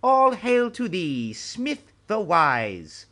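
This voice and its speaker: An ancient, flowery old master. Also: male voice, high-pitched, wildly swooping pitch, extremely slow, sharp tone, pitched above the performer's own voice, exaggerated voice. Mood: ceremonious. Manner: ornate, courtly speech. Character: ancient male martial arts master, formal and flowery.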